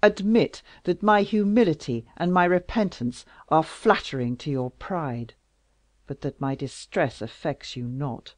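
A reader playing a passionate, powerful king who declaims loudly in a slow audiobook reading, recorded dry and close. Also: medium pitch, lively intonation, no room sound. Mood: pleading passion. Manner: loud, impassioned, declamatory. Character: passionate powerful male king, lovesick and wrathful.

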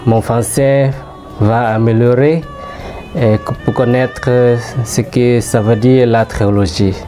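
A young seminarian from Vietnam who is a native Vietnamese speaker, speaking haltingly as he searches for words. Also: medium pitch, steady tone, mellow, faint music behind.

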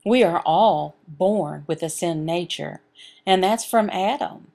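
Creaky-voiced woman voicing a sermon. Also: on the low side, takes it slowly, darkish tone. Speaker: voice interpreter reading a sermon. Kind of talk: sermon voiced over sign language, with scripture reading.

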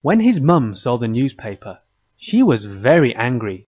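A man's voice: medium pitch, very animated, unhurried, darkish tone, lo-fi sound. A man with an American accent narrating a scripted children's story.

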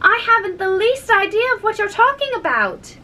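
A woman's voice: very high-pitched, sing-song, moderate pace, sharp tone, slight background noise, pitched above the performer's own voice, exaggerated voice. A woman voicing an earnest, flustered little girl, polite but puzzled, in a storybook. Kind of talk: storybook character voice.

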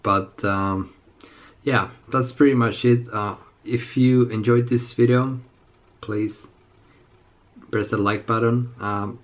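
A man in his twenties with a slightly raspy, under-the-weather voice, chatting casually to camera as a fitness vlogger in a halting, rambling way. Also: lowish voice, steady tone. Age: 20s. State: slightly sick. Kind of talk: casual unscripted chat to camera. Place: small indoor room with plain walls.

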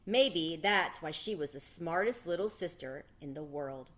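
A middle-aged woman reading a children's novel aloud with expressive, dramatic delivery. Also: deep voice, unhurried. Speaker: read-aloud storyteller. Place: small home room with bookshelves.

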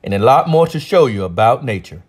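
Man playing a hearty, highly animated old grandpa. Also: fairly quick, close to an everyday voice. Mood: hearty, kindly. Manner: hearty, encouraging. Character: cheerful, kindly old grandpa who loves adventures. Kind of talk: children's storybook read-aloud.

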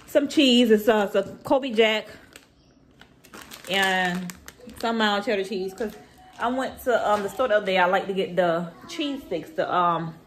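A woman in her thirties chatting casually to a phone camera in her home kitchen, relaxed and teasing. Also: medium pitch, a little hiss or hum. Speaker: vlogger and mother. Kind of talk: casual home vlog chat. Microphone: phone camera held at arm's length.

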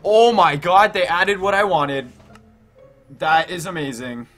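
A man hosting a casual gaming let's play, thinking aloud in an excitable, repetitive way. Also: high-pitched, a little slow. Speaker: gaming video host. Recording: music behind the voice.

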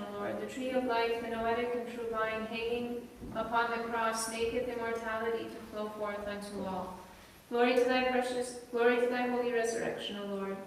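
Echoey, solemn woman in liturgical recitation. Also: fairly high pitch. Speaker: church reader and chanter. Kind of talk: liturgical reading and chanting. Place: large reverberant indoor space. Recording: echoey church-like hall, distant microphone, faint noise.

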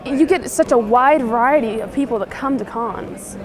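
A woman's voice: fairly high pitch, normal speed, a crowd in the background, low-quality recording. A woman speaking earnestly and reflectively in a casual interview.

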